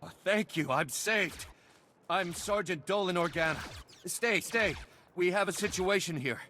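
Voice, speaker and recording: A man's voice: sits high, measured pace, sharp tone, slight background noise. A man playing a loyal, embattled army sergeant in a video game, speaking in an earnest, soldierly way. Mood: urgent relief. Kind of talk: scripted video game dialogue.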